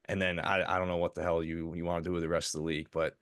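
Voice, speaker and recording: Low-voiced man with a tired voice. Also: flat delivery, rapid-fire, a bit bright. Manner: stammering restarts, conversational. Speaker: podcast co-host. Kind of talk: casual sports podcast chat.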